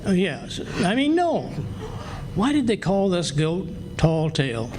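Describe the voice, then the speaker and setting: Guy doing grumbly excitable radio comedy husband, high voice, really swoopy, noisy live recording. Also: measured pace. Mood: flustered. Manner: exasperated, wisecracking. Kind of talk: old-time radio comedy acting, live stage.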